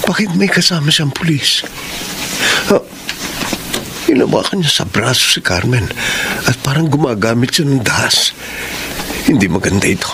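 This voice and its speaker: A man's voice: mid-range voice, very animated, normal speed, gravelly, pauses often. An actor playing a suspicious, protective man of the house in a radio drama, with a Filipino accent and a wary, confrontational manner. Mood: wary, alarmed. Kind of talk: radio drama acting with narrated inner thoughts. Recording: noisy radio drama with vehicle ambience.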